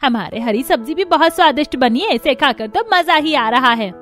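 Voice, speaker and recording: A woman's voice: high-pitched, expressive, normal speed. A woman playing a stubborn, opinionated mother in a family cartoon, with an emphatic, argumentative way of talking. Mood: defiant. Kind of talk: Hindi family cartoon voice acting.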